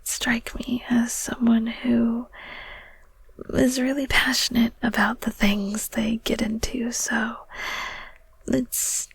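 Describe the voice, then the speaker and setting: A woman playing a shy, friendly female ghost of the underworld in an intimate ASMR audio roleplay, soft and whispery, with hesitant, stammering, gently awkward speech. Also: a little high, flat delivery, takes it slowly, gravelly, slightly rough, no echo, full character voice, low-quality recording. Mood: warm, interested.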